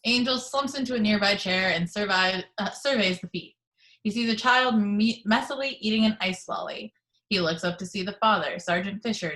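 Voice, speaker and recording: A woman reads stage directions at a table read in a mid-pitched voice. Her delivery is even and measured. She is heard over a video call. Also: rough recording. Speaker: narrator reading stage directions. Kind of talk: reading action description.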